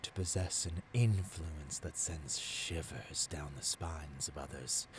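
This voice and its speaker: A man's voice: a bass voice, slow pace, background noise. A man playing a seductive, arrogant supervillain in a theatrical ASMR roleplay, silky and grandiose, slipping into whispers. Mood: seductive, tempting. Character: seductive, arrogant male supervillain tempting a hero.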